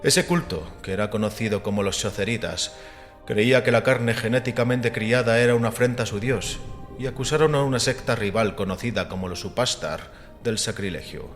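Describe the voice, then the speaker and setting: A man voicing a calm, assured and scornful critic of religion, measured and didactic, in a dramatized audiobook. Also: medium pitch, lightly acted. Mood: calm, recounting. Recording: long reverberant hall with music behind. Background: music.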